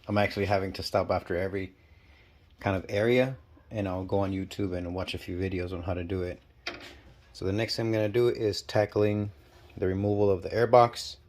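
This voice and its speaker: A man with a stuffy, nasal cold talking casually and haltingly while working on a car in his garage. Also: fairly low pitch, a little mellow, slight background noise. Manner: talks while working, halting and self-deprecating. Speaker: channel host. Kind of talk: casual DIY garage walkthrough. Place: home garage.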